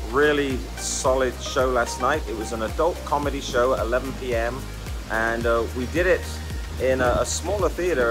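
A middle-aged man, a stage hypnotist, giving a casual vlog update to a phone held at arm's length outdoors, talking in a relaxed way with fillers. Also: medium pitch. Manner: relaxed, chatty, halting with fillers. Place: outdoors by the sea. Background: wind.

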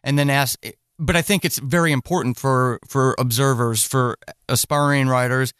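A man's voice: mid-range voice, fairly even intonation, normal speed, fairly bright tone, clean tone, no echo, clean studio sound. A man hosting a podcast interview in a casual, earnest and encouraging way.

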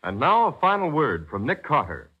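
Higher-pitched, animated American radio announcer man. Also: normal speed, slightly rough. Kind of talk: 1940s radio announcing, scripted. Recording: muffled old radio recording with music.

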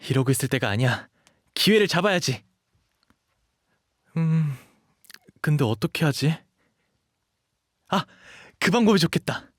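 A man's voice: fairly high pitch, animated, a little slow, pauses often, no room sound, studio quality. A man acting as an eager, cutesy, pleading twenty-year-old dog shapeshifter in an audio drama, with a boyish manner. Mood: scheming, eager. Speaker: voice actor.

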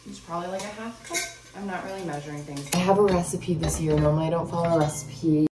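Low-voiced young woman in an echoey kitchen. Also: fast, a crowd in the background. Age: young adult. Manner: chatty, thinks aloud while cooking. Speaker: vlogger. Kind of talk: casual cooking vlog talk. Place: home kitchen, indoors. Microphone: camera on the counter, a few steps away. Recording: echoey kitchen, mic at a distance.

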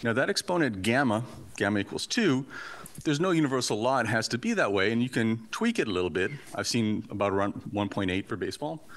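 A Canadian-raised man whose first language is Portuguese, giving a lively, joking academic talk in a lecture hall. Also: mid-range voice, fast talker, a little hiss or hum. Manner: lively, chatty, joking asides. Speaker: invited conference speaker.

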